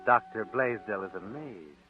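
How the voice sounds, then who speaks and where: An actor as the mysterious narrator of a 1940s radio mystery, telling the story in a knowing, wry way. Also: male voice, on the low side, a little slow, lightly acted. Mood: sly. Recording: tinny old radio broadcast with loud music.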